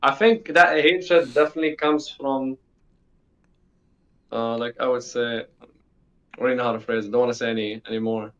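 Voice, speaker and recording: A man's voice: mid-range voice, steady tone, normal speed, pauses often, a bit bright. Teenage male, from the UAE, casual interview guest, informal, emphatic repetition, muffled band-limited sound. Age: teens, sixteen. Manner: informal, repeats himself for emphasis.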